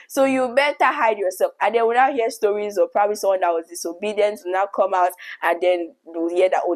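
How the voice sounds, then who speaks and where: A young adult woman with a Nigerian accent, telling a childhood story in a chatty, animated way on her video channel, laughing often. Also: on the low side. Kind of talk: casual story time vlog.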